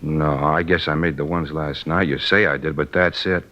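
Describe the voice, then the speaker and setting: Grumbly defensive suspect, low voice, old muffled radio cop show, sounds worn down and sullen. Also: male voice, fairly even intonation, normal speed, sharp tone. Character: weary, defensive married man held as suspect. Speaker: radio actor. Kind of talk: 1950s radio acting. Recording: dull vintage radio recording.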